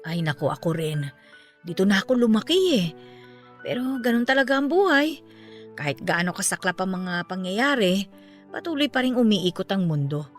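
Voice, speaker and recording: An actress playing a middle-aged aunt in a radio drama, speaking calmly and matter-of-factly. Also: female voice, medium pitch, moderate pace, lightly acted. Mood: somber. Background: faint background music.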